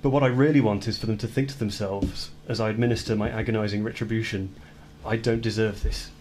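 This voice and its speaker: An actor playing a brooding man who confesses violent fantasies of retribution in a deliberate, intense radio play monologue. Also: on the low side, fairly even intonation, a crowd in the background, natural voice. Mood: grim, vengeful.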